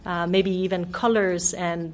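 Woman, American-sounding English, nutrition expert, lower pitch, clear measured explaining. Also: level tone, a little mellow. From Denmark and Mexico. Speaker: nutrition officer guest. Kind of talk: radio interview. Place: radio studio.

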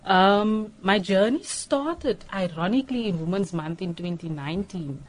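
A woman's voice: medium pitch, lively intonation, unhurried. A woman in her forties from South Africa, a radio guest telling her life story candidly with nervous fillers. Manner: candid, nervous, self-deprecating, many fillers. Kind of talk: personal story in a radio interview. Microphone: studio microphone.

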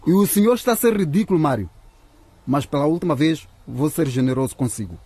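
A man acting a stern, cold businessman in a radio drama, speaking with deliberate threat and a husky voice. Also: fairly high pitch, lively intonation, noisy background. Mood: stern menace. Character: menacing businessman, cold, impatient, paying off a blackmailer.

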